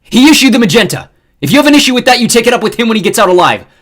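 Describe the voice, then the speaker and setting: A man's voice: on the high side, very animated, fast talker, bright, forceful tone, voice pushed up, full character voice. A man voicing a stern male squad leader who barks orders and growls commands. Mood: shouting.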